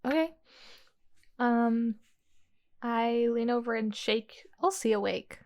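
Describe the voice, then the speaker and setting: A woman's voice: a little high, slow pace, a little mellow. A woman playing a tabletop game, talking casually about her character. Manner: casual, chatty. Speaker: player.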